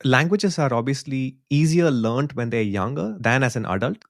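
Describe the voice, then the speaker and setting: A man's voice: mid-range voice, animated, moderate pace, vocal fry, no echo, clean studio sound. A man from India in a casual podcast chat, speaking earnestly and thinking aloud. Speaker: podcast co-host. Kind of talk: casual conversation.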